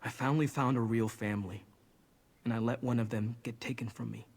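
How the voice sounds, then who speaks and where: An actor playing a somber, protective young outcast in a fantasy video game, speaking earnestly and in a measured way. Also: male voice, mid-range voice, steady tone, darkish tone, slightly rough. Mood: remorseful resolve.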